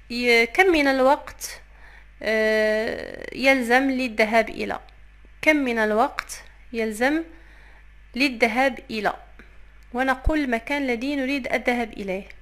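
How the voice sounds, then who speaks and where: A woman leads a phrase lesson. She says each French line slowly and carefully, repeats it, and then explains it at a quicker pace. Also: on the high side, a bit bright. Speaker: French teacher. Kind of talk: phrase lesson for Arabic speakers.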